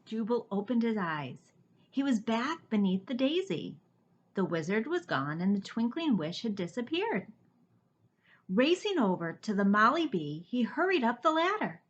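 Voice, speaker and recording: A woman reading a children's storybook aloud like a teacher, with deliberate, expressive narration. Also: fairly high pitch, lo-fi sound. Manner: deliberate, animated.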